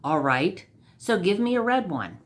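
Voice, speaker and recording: A woman's voice: lowish voice, very animated, unhurried. A woman voicing a brash young male rug salesman in a storybook, with a glib, slangy way of talking. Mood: urgent, exasperated.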